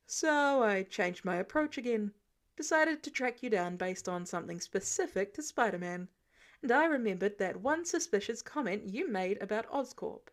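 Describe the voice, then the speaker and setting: A woman's voice: mid-range voice, expressive, normal speed, natural voice. A woman voicing a sardonic older male inventor who teases his young companion in an audiobook story. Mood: explaining, wry. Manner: dry, exasperated, teasing.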